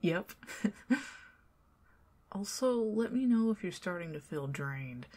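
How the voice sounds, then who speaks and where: A young woman with a Southern US accent doing an ASMR roleplay as a chatty neighbor, rambling between soft talk and whispers with nervous giggles. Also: lowish voice, animated, slow. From Southern United States. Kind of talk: ASMR roleplay, talking to the listener as a friendly neighbor.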